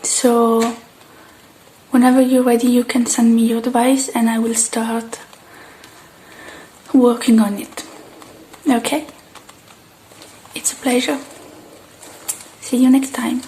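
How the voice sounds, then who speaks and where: A woman playing a gentle, polite repair technician in a soft-spoken ASMR roleplay, murmuring and sometimes whispering in an unhurried way. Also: fairly high pitch, little pitch movement, slight background noise, rough recording. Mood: polite, helpful. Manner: soft, unhurried, murmuring to herself while working.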